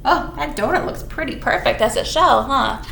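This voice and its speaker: High-pitched, animated children's storytime woman. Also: normal speed, vocal fry. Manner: playful, exaggerated, talks to small children. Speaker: children's librarian leading storytime. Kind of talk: animated storytime read-aloud with asides to young children. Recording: roomy echo with background noise.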